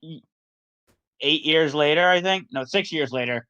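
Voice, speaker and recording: A man's voice: fairly high pitch, normal speed, bright, forceful tone, clear, resonant tone. A man bantering casually in a blunt, self-mocking way, with swearing, over dull voice-chat audio.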